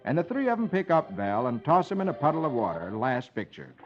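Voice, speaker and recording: A man's voice: mid-range voice, very animated, brisk. An American man hosting a children's radio show, reading the funnies aloud in a theatrical, articulate 1950s storytelling style. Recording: muffled old radio recording, music behind.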